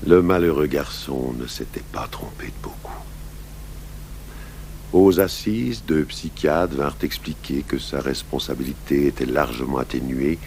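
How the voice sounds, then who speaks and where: A patient, grave police commissioner in a radio drama, with an extremely deep voice. He is sober and measured, sparing with words yet compassionate. A faint hum and hiss of old broadcast tape sits under him. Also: a little mellow. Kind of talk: French radio drama acting with narration. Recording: faintly noisy, humming 1980s radio tape.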